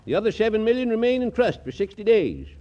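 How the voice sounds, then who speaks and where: Officious older lawyer, hemming formality, lively pitch swings, 1940s radio comedy, muffled old broadcast. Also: male voice, fast, a little hiss or hum. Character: fussy, officious male estate lawyer, older, patient.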